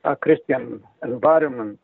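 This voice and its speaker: A middle-aged man from Greece, speaking English with a Greek accent as his second language, answering interview questions in a halting way with many pauses. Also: medium pitch, creaky, husky, dry recording. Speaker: guest. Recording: tinny phone line.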